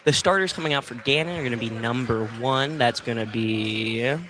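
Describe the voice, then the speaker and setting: A man doing live play-by-play basketball commentary on the radio, speaking off the cuff and somewhat haltingly. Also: medium pitch, fairly bright tone, a little hiss or hum, rough recording.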